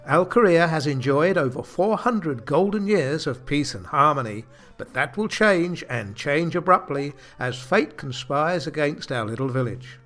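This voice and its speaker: A man's voice: on the high side, fairly bright tone. A man narrating a comic radio play from a script in a mock-solemn manner.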